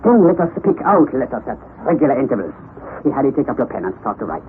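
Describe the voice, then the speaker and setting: An actor playing an eccentric, obsessive older professor in an old-time radio drama, theatrical and domineering, muttering and declaiming. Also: male voice, medium pitch, sing-song, fast, lightly acted. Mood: pondering, intent. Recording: tinny, narrow, noisy vintage radio recording.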